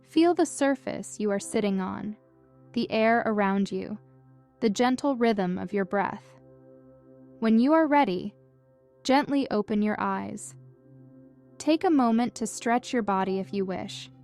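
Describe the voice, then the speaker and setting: A woman narrating a scripted guided meditation in a gentle, calm and soothing manner with measured instructions. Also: mid-range voice. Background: music.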